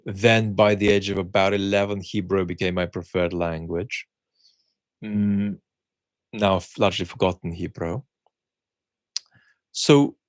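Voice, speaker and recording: A middle-aged man, born in the Soviet Union with Russian as his first language, musing aloud as he answers viewer questions, pausing often with ums. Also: lowish voice, dry recording. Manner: measured, thinking aloud with many ums and pauses. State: unwell, speaking while cared for. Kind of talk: reflective solo chat.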